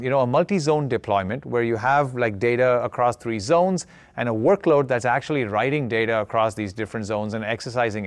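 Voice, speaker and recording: A man's voice: mid-range voice, no room sound. A man in his forties presenting a keynote in a conversational, explanatory way, often checking in with a short tag question. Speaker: keynote speaker, tech executive.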